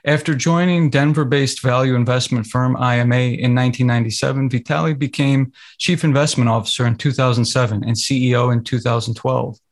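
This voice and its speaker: A man hosting a podcast, introducing his guest from a script and then asking questions. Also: mid-range voice. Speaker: podcast host.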